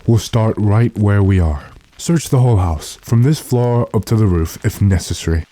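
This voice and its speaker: Man playing a low-voiced, stern gentleman master. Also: normal speed, a little hiss or hum, natural voice. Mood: intent, commanding. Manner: commanding, clipped, impatient. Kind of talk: classic radio drama acting.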